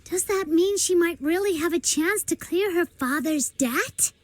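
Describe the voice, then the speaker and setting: A woman's voice: extremely high pitch, unhurried, dry recording. An actress voicing a small, curious, naive female companion creature in a video game. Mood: curious, puzzled.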